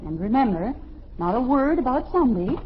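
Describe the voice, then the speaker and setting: Firm, lively 1950s radio sitcom mom. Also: female voice, a little high. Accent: American. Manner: brisk, motherly, firm. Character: firm, patient suburban mother and wife, middle-aged. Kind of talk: 1950s American radio family sitcom acting. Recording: muffled, noisy old radio recording with audience.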